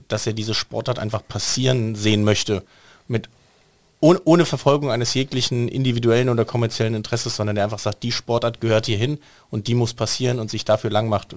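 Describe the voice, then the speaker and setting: A man co-hosts a podcast, pressing his points with enthusiasm. His voice sits fairly low. The recording is dry and close. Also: no echo. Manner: emphatic, enthusiastic. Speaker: podcast co-host. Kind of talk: casual podcast chat.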